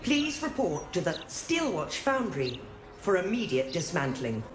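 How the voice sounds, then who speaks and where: A woman's voice: on the low side, sing-song, measured pace, background noise. A woman voicing a cold, official robotic war machine in a video game, making formal authoritarian pronouncements. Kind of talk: scripted video game acting. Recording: echoing voice under background music.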